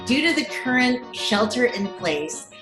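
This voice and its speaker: Unhurried woman announcer over guitar music. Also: on the high side, sing-song. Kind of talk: scripted credits announcement. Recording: roomy home recording, music behind. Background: plucked guitar music.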